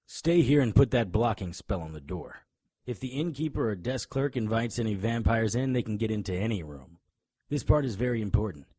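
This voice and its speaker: An actor playing a tough, wisecracking monster hunter in an audio drama, grim and cocky, with a husky voice. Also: male voice, lowish voice, animated, a little fast, dark tone, dry recording. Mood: grave, urgent. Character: hard-boiled male monster hunter, grim, cocky, threatening. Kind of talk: audio drama acting with noir first-person narration.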